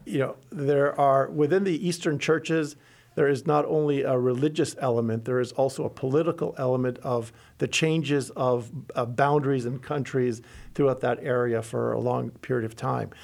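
Gravelly-voiced middle-aged bishop. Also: male voice, mid-range voice, steady tone, normal speed, a little mellow. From Lebanon. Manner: earnest, teaching, with frequent restarts. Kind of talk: explanatory interview talk.

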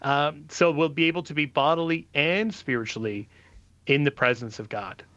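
A man's voice: a little high, moderate pace, a bit bright, dry recording, highs cut off. A man who is a guest on a call-in radio show, patiently explaining questions of faith to callers.

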